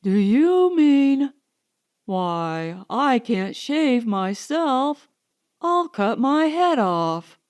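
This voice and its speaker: A woman's voice: high-pitched, big pitch swings, extremely slow, darkish tone, no echo, exaggerated voice. Woman playing a flustered society man, drawling exclamations. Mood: aghast.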